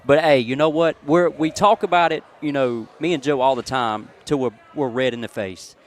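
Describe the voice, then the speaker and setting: A man's voice: mid-range voice, steady tone, moderate pace. An effusive man, chatting casually and emphatically as a sports radio co-commentator. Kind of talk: casual live post-game chat.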